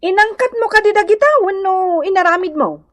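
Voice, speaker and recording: A woman's voice: very high-pitched, fairly quick. An actress playing a nosy, gossiping village woman in an Ilocano radio comedy, with an Ilocano accent. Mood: snide.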